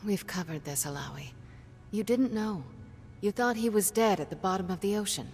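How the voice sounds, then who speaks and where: Wry tough adventurer lady in a game, average pitch, echoey with faint music. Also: expressive, moderate pace, creaky, darkish tone. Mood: serious. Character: wry, strong-willed adventuring woman. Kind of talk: dramatic game cutscene acting. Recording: reverberant, faint music behind.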